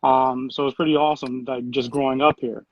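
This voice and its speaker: A man in his thirties, a city program director, answering interview questions in a relaxed way with lots of fillers and restarts. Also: medium pitch, a little fast, no echo, muffled recording. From Puerto Rico.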